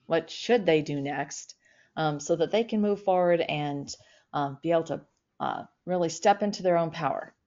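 A woman's voice: low voice, moderate pace, a little mellow. A middle-aged woman hosting a podcast interview over a video call, affirming and chatty with frequent ums. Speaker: podcast host. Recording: slightly dull video-call audio.

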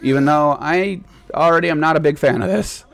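A man's voice: on the high side, brisk, a bit bright. A man chatting casually while playing a co-op game, joking and rambling with lots of "like". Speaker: gamer.

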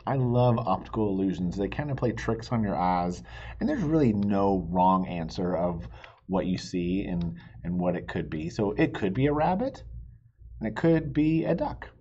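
A man's voice: deep voice, lively intonation, normal speed, warm tone, highs cut off. A man in his thirties hosting a picture-book read-aloud, explaining things simply to young viewers. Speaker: children's read-aloud host.

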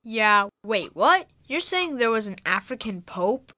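A woman voicing a curious, excitable young boy in an audio drama, eager and full of questions. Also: on the high side, measured pace, exaggerated voice. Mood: amazed.

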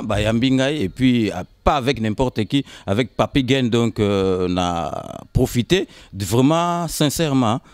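Halting, low-voiced Congolese man in his fifties. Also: a little mellow, smooth, no echo. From Kinshasa, Congo. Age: 50s. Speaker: interview guest. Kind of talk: casual interview storytelling, switching between Lingala and French. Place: indoors on a sofa. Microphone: handheld microphone held by interviewer.

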